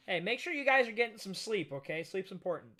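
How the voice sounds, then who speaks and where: A man live-streaming a casual, excitable reaction read-through, swearing freely as he chats with viewers. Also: high-pitched, fast talker. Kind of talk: casual livestream reaction chat while reading manga.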